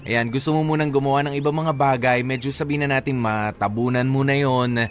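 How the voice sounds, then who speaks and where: Filipino guy radio host, normal pitch, casual advice, hesitant and thoughtful, short phrases. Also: fairly even intonation, a little fast, slight background noise.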